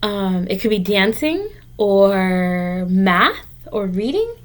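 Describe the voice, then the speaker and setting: A woman's voice: medium pitch, big pitch swings, slow pace. A woman teacher reading a picture book aloud to young children, explaining and encouraging them in simple, direct words.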